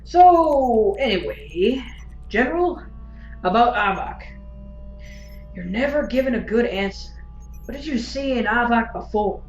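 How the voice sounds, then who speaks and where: An actress playing a bored, cynical hired war commentator who teases and prods in an audio drama. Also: female voice, on the high side, big pitch swings, a little slow, pauses often, roomy sound, lo-fi sound, faint music behind. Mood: nonchalant, nosy. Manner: flippant, teasing, prodding.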